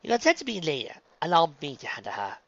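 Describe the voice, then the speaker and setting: A man's voice: on the high side, wildly swooping pitch, fast, no echo, muffled recording, pitched above the performer's own voice. A man voicing a refined, bossy, theatrically gracious lady pony who coaxes with genteel endearments. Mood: gently firm. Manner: gushing, genteel, uses endearments.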